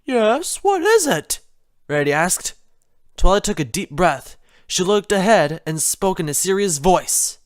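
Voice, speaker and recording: A narrator reading a story aloud in an expressive scripted style. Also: mid-range voice, moderate pace. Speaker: story reader.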